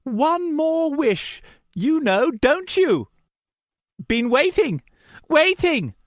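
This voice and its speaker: A man's voice: very animated, very slow, no echo, clean studio sound, exaggerated voice. A man voicing a crazed man who rants in short broken phrases and clutches a cursed charm. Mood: sly. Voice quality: shrill, ranting in broken phrases.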